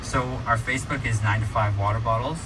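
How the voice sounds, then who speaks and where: Late teens guy, lowish voice, casual interview guest, bit far from mic, noisy. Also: fairly even intonation, a little fast. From Alberta, Canada. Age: teens, high school senior. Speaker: interview guest, young entrepreneur. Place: radio studio.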